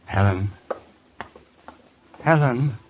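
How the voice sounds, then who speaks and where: A man acting an anguished, confessing husband in an old radio crime drama, halting and desperate. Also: medium pitch, lo-fi sound. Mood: desperate pleading. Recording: old radio recording with background hiss.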